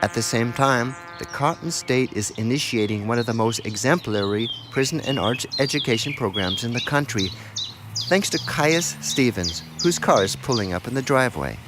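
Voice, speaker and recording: A man's voice: medium pitch, lively intonation, moderate pace. An American man reading a first-person scripted documentary narration. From United States. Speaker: narrator, radio documentary author. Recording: clear outdoor and car background noise.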